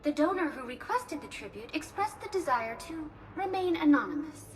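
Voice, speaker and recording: High-voiced, formal hospital receptionist. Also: female voice, lively intonation, normal speed, a little hiss or hum. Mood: polite, formal. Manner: formal, precise, procedural. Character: polite, efficient female hospital receptionist. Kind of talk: scripted informational game dialogue.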